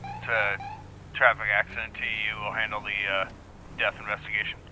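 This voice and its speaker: A man's voice: fairly low pitch, flat delivery, brisk, bright, forceful tone, a little hiss or hum, low-quality recording. A man roleplaying a dispatcher in clipped radio procedure over a police radio.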